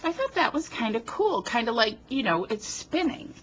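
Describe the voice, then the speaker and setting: A woman's voice: fairly high pitch, big pitch swings, normal speed, a little hiss or hum. A woman from New Jersey hosting a casual card-making tutorial, chatting and joking about herself while she works, sniffling a little. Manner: chatty, self-deprecating, talks while working. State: sniffling from a runny nose. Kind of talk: casual craft demonstration. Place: home craft table, indoors. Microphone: camera on tripod above the table.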